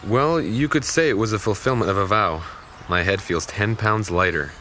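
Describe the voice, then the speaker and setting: Man playing a low-voiced, gallant young man. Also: normal speed, bright, forceful tone, faint music behind. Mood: warm, courtly. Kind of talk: audio drama acting.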